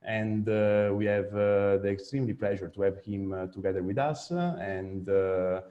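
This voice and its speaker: A man moderating an online event, introducing the speaker in a halting way with many fillers. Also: fairly low pitch, muffled recording.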